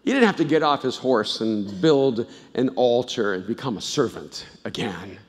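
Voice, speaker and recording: A middle-aged man from El Salvador preaching a sermon, swinging between loud emphatic bursts and low, intimate asides, and telling stories with frequent restarts. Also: animated, normal speed. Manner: conversational storytelling with repeated restarts. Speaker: pastor. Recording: long hall reverb.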